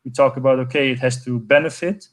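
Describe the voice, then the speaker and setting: A man's voice: medium pitch, steady tone, fast. Young guy from portugal, keen, lots of uhs, video call. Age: 20s. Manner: enthusiastic, many fillers and restarts. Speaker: guest, network co-founder. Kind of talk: conversational interview answer.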